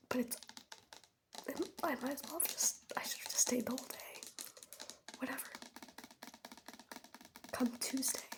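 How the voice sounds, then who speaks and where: A teenage girl whispering in a breathy voice, rambling casually and wryly in an ASMR storytime style with youthful slang. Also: a little high. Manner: chatty, wry, youthful slang. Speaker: ASMR host showing products.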